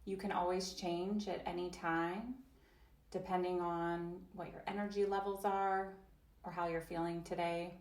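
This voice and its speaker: A woman voicing an interpreted guided chair yoga session, speaking slowly and gently, with long pauses and soft invitations. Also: on the low side, level tone, echoey room.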